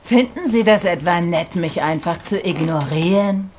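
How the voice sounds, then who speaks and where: A woman's voice: lowish voice, normal speed, darkish tone, slight background noise, natural voice. An actress playing a brash, foul-mouthed woman with a sneering, provocative manner in an audio drama. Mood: irritated, sneering. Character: crude, brash, foul-mouthed woman, an alter ego in therapy.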